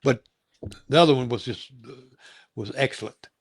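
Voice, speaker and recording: A man's voice: mid-range voice, moderate pace, breathy edge, dry recording. A man from North Carolina hosting a casual interview, rambling with frequent chuckles and restarts. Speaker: host.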